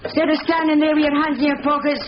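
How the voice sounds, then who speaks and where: A woman's voice: high voice, little pitch movement, fast talker, lightly acted. An actress playing a bossy, tart, chatty landlady in a 1970s radio comedy drama. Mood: tart, scolding. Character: scolding, gossipy landlady woman with a soft spot. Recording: muffled, noisy old radio broadcast recording. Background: clattering dishes.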